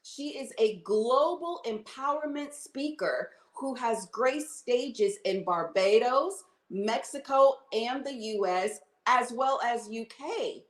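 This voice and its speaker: A bubbly woman hosts a podcast interview, her voice sitting a little high. She talks upbeat and chatty, leaning on "okay" and "y'all". The recording has a roomy echo. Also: animated, slow pace, few pauses. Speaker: podcast host.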